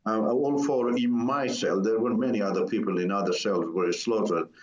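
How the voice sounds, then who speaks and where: A low-voiced man in his sixties whose first language is Turkish, speaking in English. He tells his story slowly and hesitantly, often stopping to search for words. The recording is roomy and sounds dull and lo-fi. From Iran. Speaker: interview guest. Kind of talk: personal testimony in a conversation.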